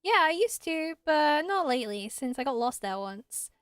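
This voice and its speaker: A woman's voice: high-pitched, very animated, moderate pace, fairly bright tone, dry recording, clean studio sound. A woman voicing an earnest, hesitant, innocent young village boy in a game.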